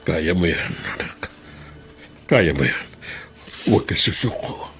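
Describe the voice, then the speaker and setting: A man's voice: rumbling low, expressive, slow, a bit bright, heavily acted. A man acting an older, loving, distressed father in a radio melodrama, pleading with emphatic emotion. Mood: concerned, encouraging.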